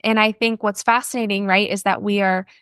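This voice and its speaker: An American woman, a therapist guest on a podcast, explaining ideas in an informal, animated conversational way. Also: mid-range voice, brisk, clean tone, dry recording, clean studio sound. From New Orleans, United States.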